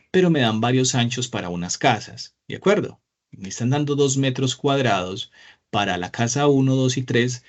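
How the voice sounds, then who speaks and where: A man teaching a live online spreadsheet class, patient and encouraging, often asking the class questions. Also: mid-range voice. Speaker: Excel teacher. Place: small room indoors. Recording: slightly dull lo-fi sound.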